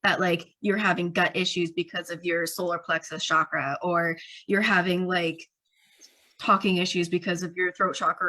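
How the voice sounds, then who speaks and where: A woman's voice: on the low side, pushed and bright, low-quality recording. A woman guest on a podcast telling her story casually, with many fillers. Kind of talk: casual interview chat.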